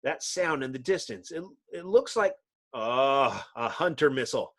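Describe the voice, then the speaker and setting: A man's voice: mid-range voice, lively intonation, normal speed, a bit bright. A man voicing a cocky, hot-headed young superhero in an earnest, exclamatory way. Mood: rueful, alarmed.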